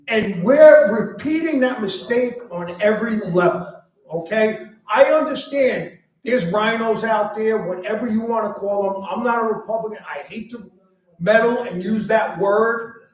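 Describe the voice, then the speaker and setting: A man who chairs a county party, speaking bluntly and emphatically at a panel discussion. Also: sits high. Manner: blunt, emphatic, repeats "okay?". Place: club meeting room. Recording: echoey room, mic at a distance.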